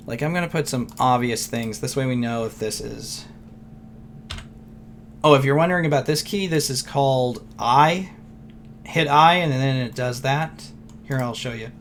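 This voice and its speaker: A man who is losing his voice talks loosely through a software tutorial, rambling and thinking aloud with fillers and throat clearing. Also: medium pitch, slight background noise. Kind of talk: casual screen-recorded tutorial.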